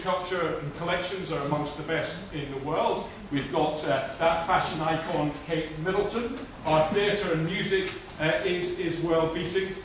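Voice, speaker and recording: A middle-aged Scottish man giving a brisk, assured prepared speech at a conference lectern, with frequent filler restarts. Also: fairly high pitch. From Scotland. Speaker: conference speaker. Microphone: lectern mic recorded by a far camera. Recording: echoey hall from far off, noisy.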